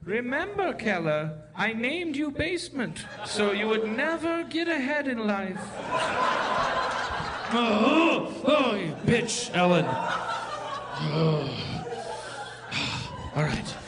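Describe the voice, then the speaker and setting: A man's voice: high voice, very animated, very slow, pauses often, full character voice. A man voicing a flustered male comic writer who stammers constantly, in live improv comedy. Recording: loud live audience and room noise.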